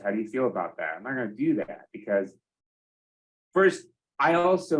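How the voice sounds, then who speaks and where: A man's voice: mid-range voice, a bit bright, low-quality recording. Candid man giving a conversational account. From Iraq or Iraqi family, American. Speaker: guest speaker.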